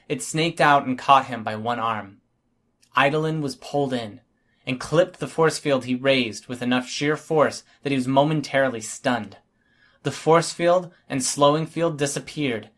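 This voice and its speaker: A man's voice: medium pitch, a bit bright. A man reading scripted first-person fiction as an audiobook narrator.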